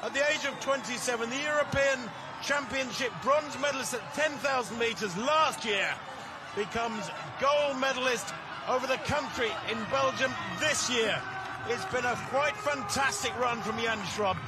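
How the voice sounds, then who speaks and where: A man giving live race commentary, excited and projecting, as a sports commentator. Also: very high voice, moderate pace. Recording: loud crowd, outdoor race broadcast.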